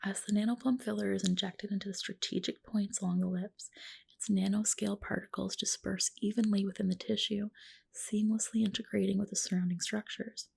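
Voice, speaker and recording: A woman with a soft, breathy, whispering voice doing a gentle, reassuring ASMR roleplay as a spa practitioner, close to the microphone. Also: medium pitch, little pitch movement, a little fast. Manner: gentle, reassuring client-care talk. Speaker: ASMR creator playing a medical spa practitioner. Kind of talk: ASMR roleplay, personal attention.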